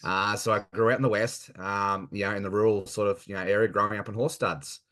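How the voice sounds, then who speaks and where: An Australian man in his thirties with a very deep, low voice. He talks earnestly and haltingly, pausing often on fillers as he answers as a guest. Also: steady tone, fairly bright tone. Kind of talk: casual interview chat.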